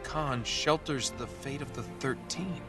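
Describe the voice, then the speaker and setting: An actor playing a quick-witted, wary treasure hunter in his thirties with an American accent and a sarcastic, casual delivery in a video game. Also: medium pitch, natural voice. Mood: absorbed, explaining. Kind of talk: cinematic video game acting. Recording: music under the dialogue. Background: background music.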